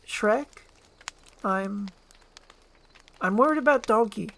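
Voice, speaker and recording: A reader voicing a gentle, polite young princess in a fairy-tale script reading. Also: medium pitch, slow pace, vocal fry, voice pushed up. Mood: worried.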